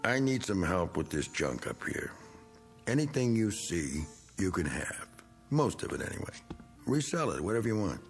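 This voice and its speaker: An actor playing a blunt, gruff middle-aged man who is clearing out his cluttered attic, in a TV drama. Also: sits low, very animated, normal speed, soft background music. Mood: pushy. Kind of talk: 1980s TV drama acting.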